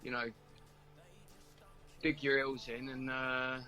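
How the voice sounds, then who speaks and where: Bright-voiced man over music. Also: medium pitch, level tone, slow. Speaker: band member being interviewed. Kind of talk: casual chat. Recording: music playing behind. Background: background music.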